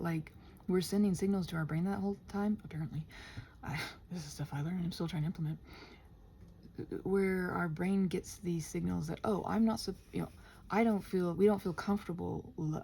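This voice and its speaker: A woman in a casual, improvised stream-of-consciousness ramble, halting and interrupting herself, often slipping toward a half-whisper, with a gentle encouraging manner. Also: lowish voice, fairly even intonation, vocal fry, a little hiss or hum. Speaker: host of an improvised ramble audio for body doubling.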